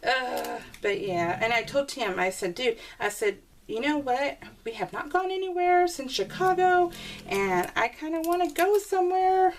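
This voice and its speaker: A woman's voice: high voice, expressive, a little slow. Chatty woman, slightly distant mic. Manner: chatty, informal, rambling. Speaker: crafting video host. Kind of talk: casual chat to viewers while crafting. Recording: distant mic with faint background noise.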